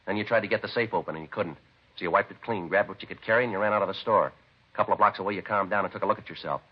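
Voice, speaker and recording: A man's voice: fairly low pitch, rapid-fire, slightly rough. A man playing a terse, methodical police detective in a 1950s radio drama, matter-of-fact and unbelieving as he questions a suspect. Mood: dry, accusing. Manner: clipped, matter-of-fact interrogation. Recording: dull vintage radio recording.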